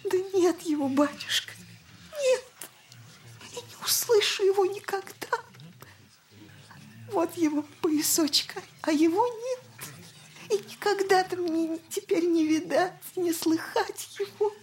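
High-voiced weeping peasant mother. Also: slow pace, pauses often, a little mellow, a little hiss or hum. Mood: tearful longing. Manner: lamenting, repetitive folk speech. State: crying, tearful. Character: grieving peasant mother mourning her little son. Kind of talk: audio drama acting.

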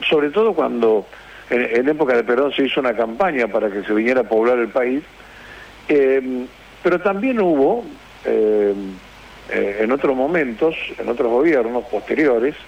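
A man with an Argentine accent chatting casually as a radio host, speaking haltingly as he searches for words. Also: medium pitch, expressive, gravelly. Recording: dull radio sound with hiss.

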